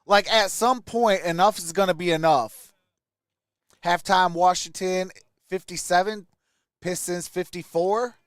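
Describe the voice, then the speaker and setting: A man's voice: high-pitched, measured pace, a bit bright, dry recording, studio quality. A man hosting a sports livestream, chatting casually and commenting as he answers viewers. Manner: greets viewers by name, conversational.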